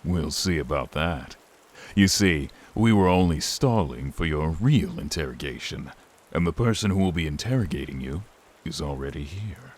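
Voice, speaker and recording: An actor playing a smug, mocking fantasy hero who interrogates a suspect in a taunting, deliberate way. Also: male voice, a bass voice, lively intonation, husky, heavily acted. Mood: confident, gloating.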